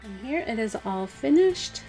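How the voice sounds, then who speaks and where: A woman with a slightly hoarse, dry voice, casually talking through a DIY craft project step by step. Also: on the high side, unhurried, soft background music. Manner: relaxed, chatty, step-by-step instructions with fillers. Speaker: craft vlogger. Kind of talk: casual DIY tutorial voice-over.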